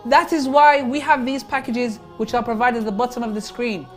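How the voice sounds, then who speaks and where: A man appearing as a guest on a live charity broadcast, eagerly pitching the appeal to viewers. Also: steady tone, a little fast, fairly bright tone. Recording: music in the background.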